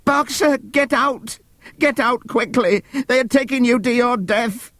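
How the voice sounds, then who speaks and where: A man's voice: very high-pitched, a little slow, a bit bright, no room sound, clean studio sound, pitched above the performer's own voice. A man voicing a stout female horse in an audiobook, crying out in desperate terror.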